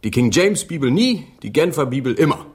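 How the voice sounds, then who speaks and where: An actor in a radio drama playing a dry, disapproving gentleman doctor with a formal, pedantic manner. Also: on the high side, sing-song, brisk, husky.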